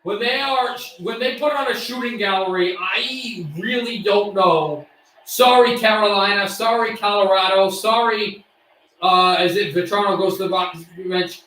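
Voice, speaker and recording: A man doing amateur play-by-play of a hockey game, excitable and bursting into shouts on shots, his voice getting worn. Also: high voice, measured pace, heavy reverb, distant mic, a little hiss or hum, rough recording. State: losing his voice. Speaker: play-by-play streamer.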